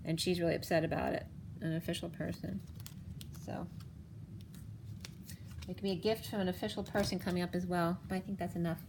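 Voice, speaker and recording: A woman reading tarot cards for viewers in a casual, rambling way, her voice going hoarse. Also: fairly low pitch, fairly even intonation, background noise. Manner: rambling, halting restarts. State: losing her voice. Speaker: tarot reader. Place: home room, table of cards. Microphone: camera on the cards, speaker off screen.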